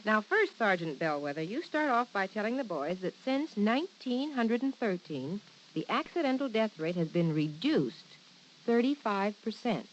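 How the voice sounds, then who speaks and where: Expressive, capable radio housewife played by a woman. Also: mid-range voice, normal speed, close to an everyday voice. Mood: instructive. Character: kindly, capable, instructive policeman's wife. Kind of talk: old-time radio safety playlet acting. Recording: muffled old radio recording, faint hiss.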